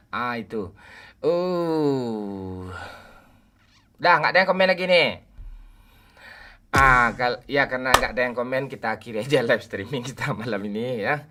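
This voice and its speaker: A man's voice: medium pitch, animated, normal speed, long pauses, pushed and bright. A man in his forties on a game livestream, greeting viewers by name and joking with the chat. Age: 40s. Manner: joking, teasing banter with chat. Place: home room at night.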